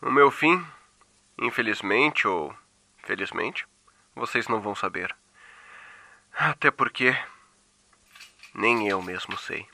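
A man's voice: on the low side, lively intonation, slow, creaky, pauses often, pushed and bright, full character voice. A Brazilian man playing a frightened young captive who gives an anxious, colloquial first-person testimony in a horror audio drama. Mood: resigned despair. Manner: anxious, colloquial confessional telling.

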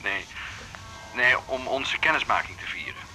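A man's voice: mid-range voice, animated, moderate pace, over loud music, close to an everyday voice, poor audio. An actor in a radio drama playing a charming man courting a woman over the telephone. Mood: charming, wooing. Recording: faint noise behind the voice.

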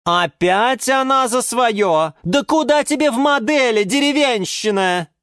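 Woman playing a haughty, high-pitched shrieking orchid. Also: expressive, unhurried, a bit bright, no echo, clean studio sound. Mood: scornful, shrieking. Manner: shrieking, sneering. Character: haughty, scornful female orchid, snobbish and quarrelsome. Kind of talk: children's story character voice.

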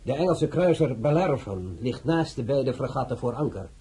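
A formal government envoy who speaks stiffly and insistently. His voice is mid-pitched, dark and mellow, with a very husky texture. He presses each point with care. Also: expressive, moderate pace.

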